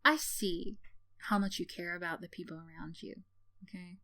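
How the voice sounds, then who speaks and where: A woman's voice: lowish voice, fairly even intonation, moderate pace, bright, forceful tone, no room sound. A woman playing a sardonic tsundere villain in a roleplay ASMR, teasing and condescending with theatrical sighs and scoffs, yet secretly caring. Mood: cynical, earnest.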